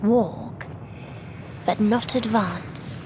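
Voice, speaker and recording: A woman playing a cold, despairing ruler in a video game cutscene, speaking formally and deliberately. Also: medium pitch, dark tone, slight background noise. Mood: cold, dismissive.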